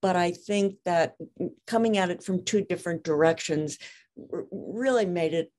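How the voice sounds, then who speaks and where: A woman with a slightly low voice talks through her work in an interview. She chooses her words carefully, qualifying and rewording points as she goes, like someone used to being exact. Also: moderate pace, darkish tone. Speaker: interviewed author and lawyer. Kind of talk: conversational interview.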